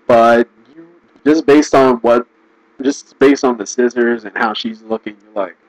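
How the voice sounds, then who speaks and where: A man giving casual, rambling commentary, restarting sentences and laughing often, his voice going hoarse. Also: medium pitch, fairly even intonation, unhurried, no room sound, poor audio. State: losing his voice. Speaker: video commentator.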